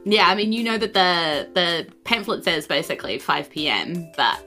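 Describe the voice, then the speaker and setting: A woman's voice: on the low side, lively intonation, moderate pace, bright, forceful tone. A woman narrating a tabletop game as game master in a casual, giggly, conversational way. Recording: faint music behind the voice. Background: faint background music.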